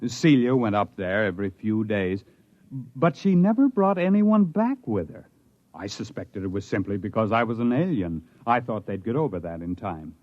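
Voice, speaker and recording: A man's voice: fairly low pitch, very animated, moderate pace, darkish tone, no echo. An actor playing a proud, intense stranded spaceman telling his story in a 1950s radio drama, with emphatic, dramatic delivery. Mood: wistful, reflective. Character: stranded human spaceman recounting his life among aliens, proud, intense. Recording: muffled vintage 1950s radio recording.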